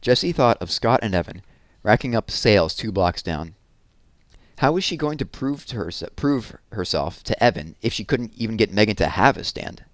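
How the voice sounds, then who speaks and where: A man reading a children's novel aloud in an expressive storytelling style. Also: lowish voice, moderate pace, no echo.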